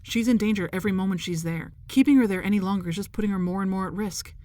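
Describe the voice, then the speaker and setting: An actress playing a dutiful, determined officer in an audio drama, clipped, formal and fiery. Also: female voice, mid-range voice, fast, warm tone, no echo, close to an everyday voice. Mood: tense concern.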